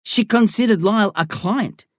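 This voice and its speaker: A man's voice: sits high, moderate pace, dry recording, studio quality, voice pushed up, lightly acted. A man voicing a volatile young drug boss who swaggers, taunts and swears, as a character in an audiobook. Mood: serious.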